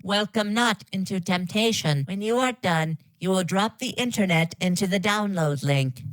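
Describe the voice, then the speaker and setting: A woman's voice: medium pitch, normal speed, no echo, natural voice, poor audio. A woman voicing a parody of a polite, menacing female AI from a video game, with formal, detached, robotic delivery. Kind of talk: scripted parody of game announcements.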